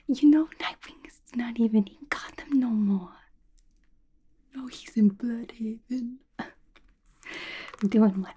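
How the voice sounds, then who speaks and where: A scatterbrained young female villain, acted out by a woman gossips in an intimate ASMR roleplay. Her higher-pitched voice is mostly whispered and very breathy. She sounds playful, chatty and clingy, with loose slangy grammar. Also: warm tone.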